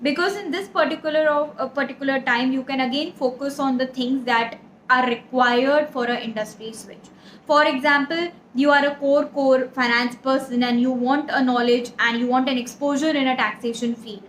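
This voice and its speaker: High-pitched Indian woman, echoey room. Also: steady tone, moderate pace. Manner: earnest, explanatory, direct address. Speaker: career advice host. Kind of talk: advisory talk to camera. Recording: echoey room, audible background noise.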